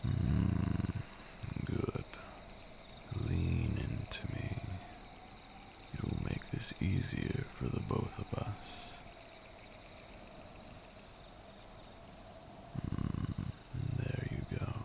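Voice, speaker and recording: Very deep male vampire lord, acted by a man, extremely slow, seductive and menacing, archaic commanding phrasing, ASMR roleplay, night insects behind. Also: sing-song, background noise, full character voice. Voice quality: deep gravelly voice, breathy at times. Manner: archaic, deliberate, teasing and commanding.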